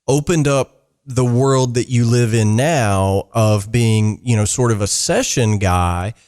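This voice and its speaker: Brief: middle-aged male host, unhurried and halting, thinks aloud as he speaks. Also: mid-range voice, darkish tone. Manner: halting, thoughtful questions with repeated words. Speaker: podcast host. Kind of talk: podcast interview.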